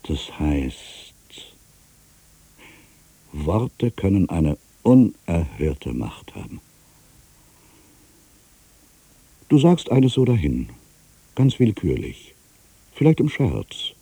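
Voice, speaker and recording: An actor playing a melancholy writer in his fifties, musing haltingly to himself in a radio play monologue, with a gravelly, husky voice. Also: sits low, sing-song, dark tone, no room sound, exaggerated voice. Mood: brooding. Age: 50s. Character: aging melancholy male writer back in his hometown.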